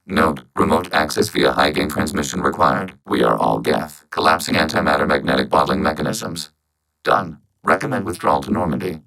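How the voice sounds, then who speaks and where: A networked game robot with an extremely low, creaky voice. It speaks in formal, clipped phrases, without emotion, as one calm collective mind. Robotic processing gives it a synthetic edge. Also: male voice, fairly quick, exaggerated voice, poor audio. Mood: clipped alert. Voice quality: synthetic, machine-like.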